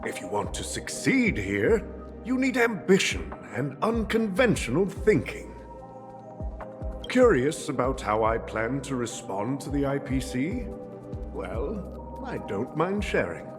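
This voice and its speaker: A man's voice: mid-range voice, big pitch swings, slow, long reverb tail, music in the background. An actor playing a shrewd, jovial old family boss in a video game, grandiose and chuckling in his condescension. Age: older. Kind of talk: theatrical video game acting.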